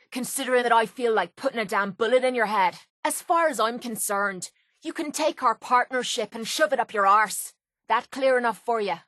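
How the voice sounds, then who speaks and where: A scrappy, hot-tempered young Irish fighting woman voiced by an actress for a video game. Her voice sits on the high side, and she talks bluntly and cockily, with a salty tongue. The sound is very dry, with no room around it. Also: fairly bright tone, dry recording, lightly acted. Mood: hostile, fed up. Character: brash, foul-mouthed young female cage fighter, tough and hot-tempered. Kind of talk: video game companion voice lines.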